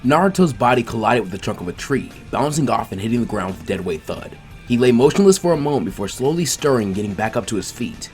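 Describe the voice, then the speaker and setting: A man reading a story aloud as an animated narrator. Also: mid-range voice, fast, faint music behind. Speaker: fan fiction reader.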